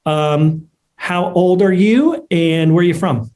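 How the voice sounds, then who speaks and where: A man hosting an online ceremony in an unscripted, halting way. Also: a little high, darkish tone. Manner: halting, hesitant delivery. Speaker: host of an online award ceremony. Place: remote video call.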